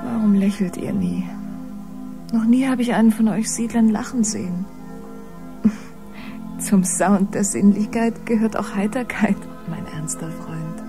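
An actress playing a serene, sensual young musician in a radio drama, speaking in a breathy half-whisper. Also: female voice, mid-range voice, a little slow, long pauses, over music, lightly acted. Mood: teasing. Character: serene, sensual young biomusician woman teaching love.

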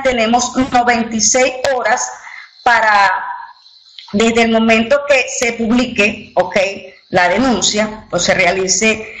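A woman presenting at an online company event, courteous and formal, with filler words. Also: a little high. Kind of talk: webinar presentation. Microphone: computer mic on a web conference. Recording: roomy web conference audio, faint noise.

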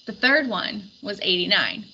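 A woman reading a storybook aloud in expressive scripted narration. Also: medium pitch, unhurried, slightly rough. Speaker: storybook reader. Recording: dull recording with faint background noise.

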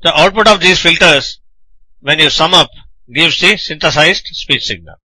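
Bright, forceful male technical lecturer. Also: on the high side, no echo, low-quality recording. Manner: deliberate, didactic, explains in short phrases with rhetorical questions. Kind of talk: scripted technical lecture narration.

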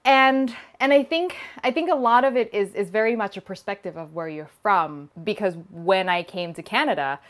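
A woman in her thirties from Thailand, hosting a cooking channel and answering viewer questions in a relaxed chat from her home kitchen. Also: mid-range voice, moderate pace, few pauses. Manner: lively, talks with her hands, laughs often. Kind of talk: casual Q&A vlog. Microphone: small lapel mic.

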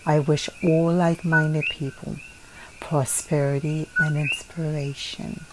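Low-voiced woman reading solemnly. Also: level tone. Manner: solemn, measured reading with occasional slips and restarts. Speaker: narrator reading a declaration. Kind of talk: earnest read-aloud declaration. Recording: noisy home recording.

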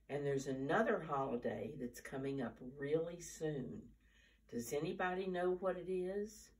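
An older American woman in her seventies or beyond talks and reads to preschoolers at storytime, in simple, deliberate, teacher-like phrases, recorded from a few steps away in a living room. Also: low-pitched, far from the mic. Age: 70s or older. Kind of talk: storytime talk and picture-book reading for young children. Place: living room at home, indoors. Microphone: camera a few steps away.

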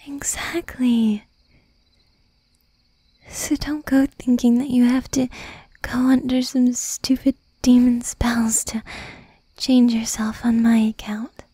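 A woman playing a tender, possessive young demon girlfriend in an affectionate, whispered ASMR roleplay, soft and breathy. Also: fairly high pitch, little pitch movement, takes it slowly, no echo. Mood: tender, reassuring.

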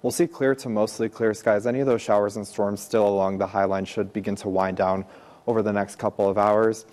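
A man's voice: fairly low pitch, steady tone, brisk, a little mellow. An American man giving a weather forecast on television in a conversational broadcast style.

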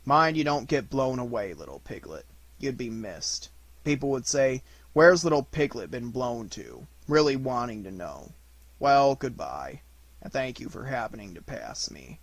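A man's voice: mid-range voice, big pitch swings, measured pace, no echo, pitched below the performer's own voice. A man voicing a gloomy, self-pitying old toy donkey with a mournful, resigned, sardonic manner in a storybook reading.

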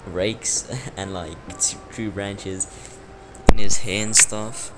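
A man's voice: on the low side, steady tone, takes it slowly. A young man, an Afrikaans speaker, giving rambling casual gameplay commentary. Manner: rambling, filler-heavy. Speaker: game youtuber. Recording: voice-over with loud game music.

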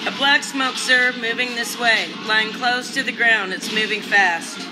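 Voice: medium pitch, steady tone, measured pace, music in the background, lightly acted, poor audio. A performer playing an urgent army observer, dutifully reporting in a radio play.

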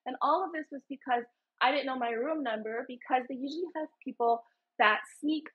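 A woman's voice: high voice, a little fast, slightly rough. A woman from Hawaii, a co-host in a casual panel chat over a video call, telling stories earnestly. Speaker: podcast co-host. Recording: muffled lo-fi call audio.

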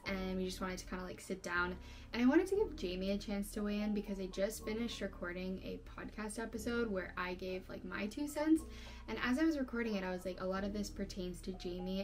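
A woman in her late twenties hosting her own channel, chatting casually at home and reading out viewer questions from a fixed camera in a bedroom. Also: medium pitch. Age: 20s. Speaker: channel host. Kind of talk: casual chat.

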